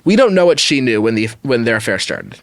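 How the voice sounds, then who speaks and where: A man's voice: medium pitch, very animated, fast, no room sound, clean studio sound. A man hosting a podcast, talking casually and giving opinions as he thinks aloud and qualifies his judgments. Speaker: podcast host. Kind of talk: casual opinionated discussion of online stories.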